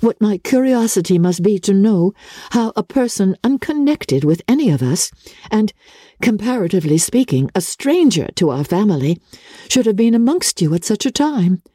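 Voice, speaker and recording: Woman playing a keen young gentlewoman, lively pitch. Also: moderate pace, slightly rough, no room sound, studio quality. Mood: earnest, pressing. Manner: formal, earnest, articulate. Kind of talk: dramatic classic novel reading.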